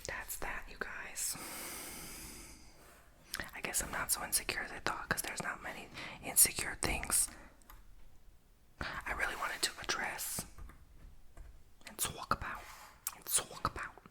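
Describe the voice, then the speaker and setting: A woman's voice: a little slow, pauses often, bright, forceful tone. A young woman whispering as she chats while doing a puzzle, rambling, playful and blunt. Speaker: vlogger.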